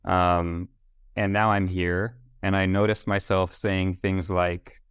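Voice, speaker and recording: A male podcast guest, a native Spanish speaker, talking casually with animated restarts. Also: low-pitched, slow pace, clean tone, dry recording, studio quality. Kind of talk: casual interview chat.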